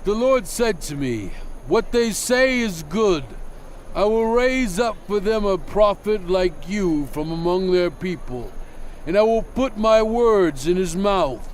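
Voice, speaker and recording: A man playing a solemn lawgiver prophet in a dramatized scripture reading, declaiming deliberately to his people. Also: high-pitched, lively intonation, slight background noise. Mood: commanding. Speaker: narrator voicing a prophet in a dramatized audio Bible. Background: background music.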